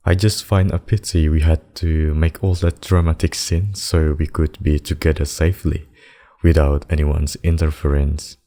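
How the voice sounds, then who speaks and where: A man voicing a possessive demon king and lover in a scripted ASMR roleplay, speaking deliberately and intimately. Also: very deep voice, level tone, mellow. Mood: tender, concerned. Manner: slow, deliberate, intimate addresses with pet names. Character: possessive demon king, menacing abductor turned tender secret lover.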